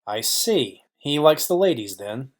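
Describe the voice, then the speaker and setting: A man's voice: mid-range voice, sing-song, a little slow. A man playing a wry, businesslike private detective narrating and questioning in a noir audio play. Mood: businesslike probing.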